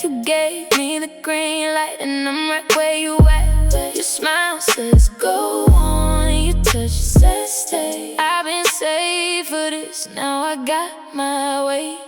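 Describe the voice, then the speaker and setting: A woman's voice: high voice, steady tone, takes it slowly, loud music behind the voice. A woman singing a romantic song with musical backing.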